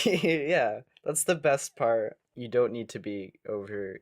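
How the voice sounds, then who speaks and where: Male podcast co-host, medium pitch, casual comedic chat, giggly, dry sound. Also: animated, slow pace, no room sound. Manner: giggly, easily amused.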